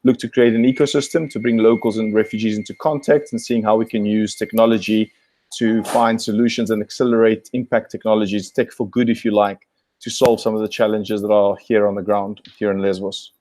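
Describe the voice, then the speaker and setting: A man who grew up in South Africa speaks as a guest over a video call. His voice is low and mellow, and he works through his points slowly, with frequent "uh"s and restarts. The sound is dry and close. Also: flat delivery, no echo. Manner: hesitant, many fillers and restarts.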